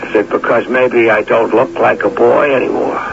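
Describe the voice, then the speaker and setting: A man's voice: medium pitch, steady tone, normal speed, gravelly, close to an everyday voice. An actor playing a wry, easygoing middle-aged former golden boy in a radio drama. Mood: musing, questioning. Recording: dull, noisy old radio broadcast.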